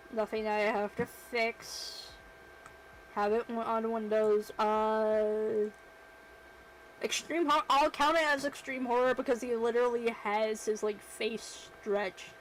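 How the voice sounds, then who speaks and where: Brief: a chatty, self-deprecating woman streamer with a fairly high voice, slow and easygoing, with faint background noise. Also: low-quality recording. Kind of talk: casual livestream chat while working.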